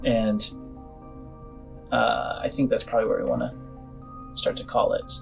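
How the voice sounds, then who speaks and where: A man's voice: mid-range voice, level tone, unhurried, gravelly, a little mellow, soft background music. Man narrating as game master. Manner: uses spoken sound effects. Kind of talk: improvised narration of a tabletop game.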